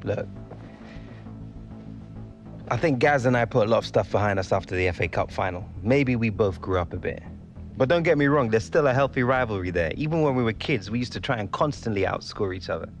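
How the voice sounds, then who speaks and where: A young footballer from a game story, easygoing and cheeky, talking in a lowish, relaxed voice. Loud game music plays behind him. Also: male voice, fairly quick. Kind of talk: video game cutscene dialogue.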